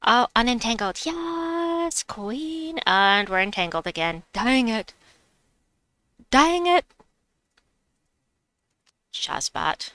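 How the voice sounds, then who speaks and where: A woman's voice: a little high, expressive, very slow, pauses often, fairly bright tone, dry recording. A woman with a slight New York accent, streaming a game and chatting with her viewers as she plays. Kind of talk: casual live-stream chat while gaming.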